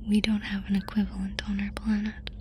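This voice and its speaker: A woman playing a curious, playful alien girl in a whispered ASMR roleplay, savouring and repeating words slowly. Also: medium pitch, monotone, background noise, close to an everyday voice.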